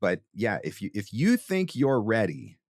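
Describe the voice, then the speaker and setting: A man's voice: lowish voice, sing-song, measured pace, a little mellow, no echo, studio quality. A man hosting a podcast, giving conversational advice emphatically, with rhetorical questions and frequent check-ins. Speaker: podcast host and voice-over coach.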